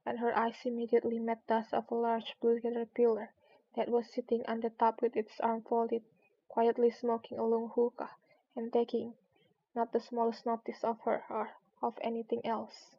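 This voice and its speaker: A woman's voice: a little high, completely flat delivery, moderate pace, vocal fry, slightly rough, lo-fi sound. A woman streamer reading a storybook aloud as narration.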